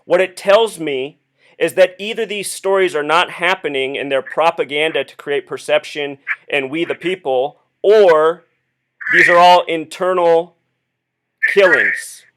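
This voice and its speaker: A man speaking as a caller on a phone call, earnestly explaining his theory in an insistent, deliberate, questioning way. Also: fairly high pitch, fairly bright tone. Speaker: caller phoning the police with a theory.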